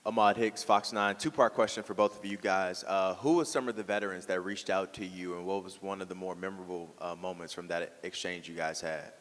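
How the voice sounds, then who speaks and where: A male reporter with a low voice puts questions to guests at a press conference. The hall carries a long reverb. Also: steady tone, fairly quick, few pauses, fairly bright tone. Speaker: television sports reporter.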